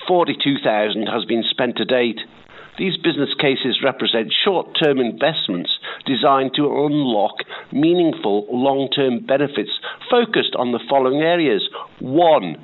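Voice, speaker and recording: A man calling a radio phone-in with a croaky voice, sounding indignant and sarcastic. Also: mid-range voice. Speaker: caller. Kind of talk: phone-in call, reading out and criticising. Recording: tinny phone line.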